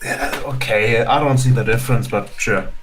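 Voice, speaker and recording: A man's voice: mid-range voice, normal speed, fairly bright tone, a little hiss or hum. A man chatting casually and informally as a co-commentator on a livestream. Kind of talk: casual chat.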